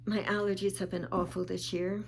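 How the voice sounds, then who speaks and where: A woman talking casually in a show-and-tell vlog, calm and unhurried with small pauses, sounding a bit sniffly. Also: mid-range voice, vocal fry, slight background noise. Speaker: vlogger.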